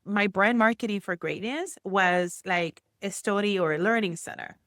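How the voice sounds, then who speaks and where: Puerto Rican woman, entrepreneur guest, chatty and animated, mid pitch, dry close sound. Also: normal speed, no echo. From Puerto Rico. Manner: chatty, animated storytelling with many fillers. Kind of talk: casual interview chat.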